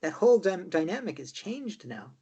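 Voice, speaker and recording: A man's voice: high voice, big pitch swings, moderate pace, mellow, a little hiss or hum. An older man with an American accent, raised between Chicago and Ireland, answering interview questions in a rambling way with many restarts. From born in Chicago, raised between the US and Ireland. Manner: rambling, with frequent restarts and repeated words. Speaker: interview guest. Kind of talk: casual conversational interview.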